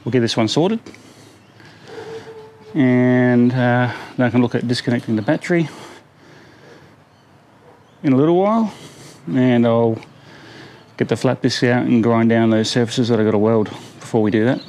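A man's voice: medium pitch, moderate pace, pauses often, slight background noise. A man, talking casually while working on his car outdoors on a driveway. He mutters his thoughts aloud between tasks, with plenty of fillers. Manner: relaxed, thinking aloud, many fillers. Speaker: vlogger. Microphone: camera on tripod, then handheld camera at arm's length.

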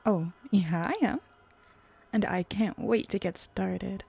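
Flirty female hellhound played by a woman for ASMR roleplay: eager, teasing, mellow, gravelly fry. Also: medium pitch, steady tone, takes it slowly, full character voice. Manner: teasing, sultry, with breathy whispers. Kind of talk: roleplay ASMR audio drama.

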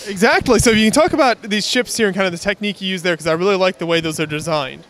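A man's voice: sits high, very fast, a bit bright. A man in his twenties with an American accent, hosting a casual interview on location. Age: 20s. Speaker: interviewer, host. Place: large busy exhibition hall, indoors. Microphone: handheld interview microphone.

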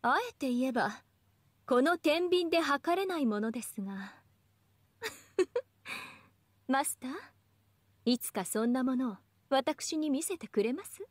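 A woman's voice: high voice, animated, slow pace, pauses often, no room sound, exaggerated voice. A woman playing a proud young goddess of justice in an anime game, with refined, teasing, ladylike speech. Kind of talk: scripted anime game voice acting.

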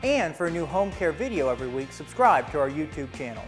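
A man's voice: on the high side, big pitch swings, fast, faint music behind. A man in his thirties with an American accent presents a scripted explainer in a plain, instructional way. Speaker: presenter for a home heating and cooling company.

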